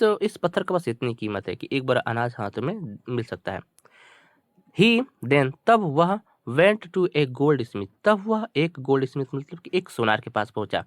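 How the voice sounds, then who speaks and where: A man with an Indian accent, a native Hindi speaker, teaching bilingually, reading English slowly word by word and repeating his explanations colloquially. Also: medium pitch, dry recording, clean studio sound.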